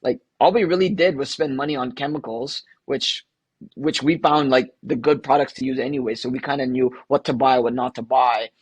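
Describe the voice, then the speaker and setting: A man from the Philippines, a guest entrepreneur telling long stories in an energetic, casual interview way. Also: medium pitch, normal speed, breathy edge, dry recording.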